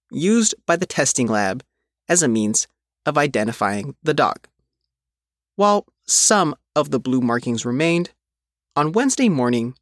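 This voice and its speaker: A man reading a news article as its reporter, in measured, phrase-by-phrase scripted narration. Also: fairly high pitch, no echo, clean studio sound. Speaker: reporter reading their own written article.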